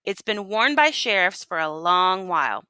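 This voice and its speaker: A woman teacher reading a storybook aloud to children and pausing to ask them questions. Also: fairly low pitch, animated, sharp tone, clean tone, no echo.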